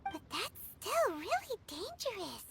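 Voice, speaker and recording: A tiny childlike fairy companion in a video game, extremely high and squeaky. She is naive and curious, speaking unhurriedly with lively swoops over clear music. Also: measured pace, background noise, heavily acted. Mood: concerned, curious. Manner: squeaky, refers to herself by name. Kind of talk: scripted video game voice acting.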